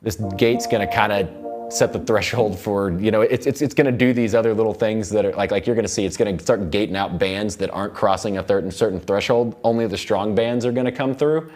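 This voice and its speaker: A young man explaining music software in a casual, loosely worded tutorial style, repeating words as he goes. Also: fairly low pitch, a little fast. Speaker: music production instructor. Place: recording studio control room.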